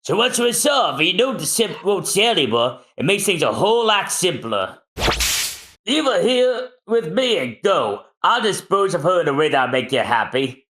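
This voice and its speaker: Man voicing young pirate, insistent, lecturing, lively pitch. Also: moderate pace.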